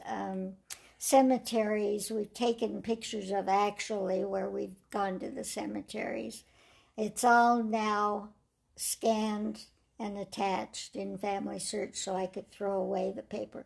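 An older woman with a raspy voice, telling her life story slowly and reflectively in an interview. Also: medium pitch. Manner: slow, measured storytelling with soft trailing phrases.

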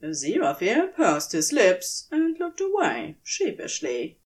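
Slow, expressive woman narrating fiction. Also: mid-range voice.

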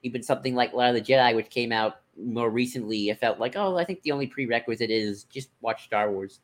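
A podcast co-host in casual discussion, speaking in a hesitant, halting way as if thinking aloud. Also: medium pitch. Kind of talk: casual discussion of franchise books and comics.

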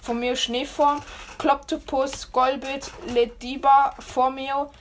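A young woman with a hoarse voice, chatting excitedly as she opens trading card packs and reads out the cards, full of slang. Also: sits high, takes it slowly, slight background noise. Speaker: card pack opener. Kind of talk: excited casual chat.

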